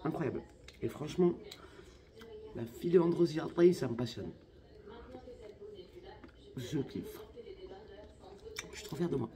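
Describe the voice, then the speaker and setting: A woman's voice: low voice, a little slow, long pauses, warm tone. Slangy chatty young woman vlogger. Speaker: lifestyle vlogger. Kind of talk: casual vlog chat.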